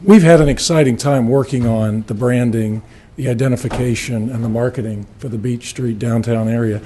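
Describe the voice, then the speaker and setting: A man with a raspy voice giving an earnest presentation to a city board, with a few fillers and restarts. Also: mid-range voice, warm tone, slight background noise. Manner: earnest, slightly halting with fillers. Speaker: marketing firm presenter. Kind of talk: public presentation at a city meeting. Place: city commission meeting room.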